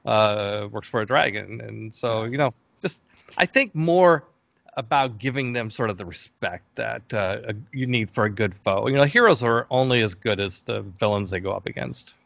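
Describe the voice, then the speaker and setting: A man's voice: on the low side, normal speed, gravelly, fairly bright tone, dry recording. A man giving a casual interview as a guest, explaining his creative work in a hesitant, thinking-aloud way. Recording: dull, lo-fi call audio.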